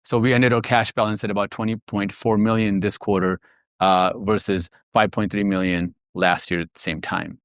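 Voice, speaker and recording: A man speaking as a finance executive on an earnings call, presenting in a measured, businesslike way. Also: lowish voice, little pitch movement, clear, resonant tone, no room sound, clean studio sound.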